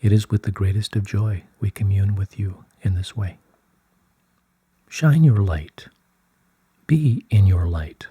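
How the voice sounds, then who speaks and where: A man giving a slow scripted reading of spiritual guidance in a solemn, measured, soothing way, with a soft, breathy voice. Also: fairly low pitch, no echo. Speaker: reader of channelled spiritual messages.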